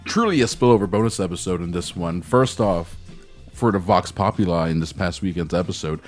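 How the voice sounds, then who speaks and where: A man's voice: low-pitched, a little fast, soft background music. A man hosting a podcast, chatting casually in a laid-back, rambling way and swearing now and then. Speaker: podcast host. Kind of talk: casual chat.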